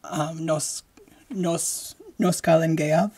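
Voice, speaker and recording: Slow, halting podcast host. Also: medium pitch, husky. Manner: halting, many fillers and restarts; speaks slowly with lively hand gestures. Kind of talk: casual solo podcast chat. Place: decorated home room, indoors. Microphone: large studio mic close in front.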